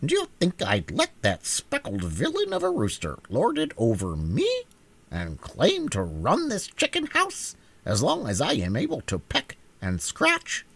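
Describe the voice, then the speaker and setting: A man voicing a feisty, proud little yellow hen in a storybook reading, with a gravelly, husky tone and scrappy defiance. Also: on the high side, huge pitch swings, measured pace, voice pushed up, heavily acted. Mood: defiant.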